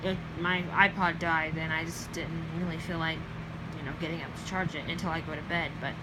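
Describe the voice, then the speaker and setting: A young woman with a Southern US accent, chatting casually in a late-night vlog, rambling with many ums and self-corrections and trailing off softly. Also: low-pitched, fairly even intonation, brisk, heavy background noise. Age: young adult. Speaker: vlogger.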